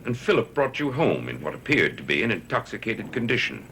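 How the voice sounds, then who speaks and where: An actor playing a stern, demanding head of household, formal and commanding, in a gothic TV soap. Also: male voice, on the low side, huge pitch swings, brisk, a bit bright, slight background noise, full character voice. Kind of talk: gothic TV soap opera acting. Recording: old TV soundtrack with music behind.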